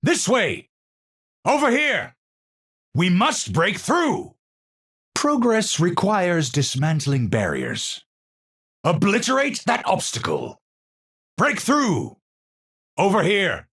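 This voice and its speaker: An eccentric male astrophysicist from a video game, with a Dutch accent. His voice is slightly rough and breathy and moves at a slow, measured pace. The pitch ranges enormously, giving his learned, theatrical phrasing a grandiose, unstable edge. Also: huge pitch swings, fairly bright tone, exaggerated voice. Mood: urgent, commanding. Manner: theatrical, professorial, slow and deliberate wording.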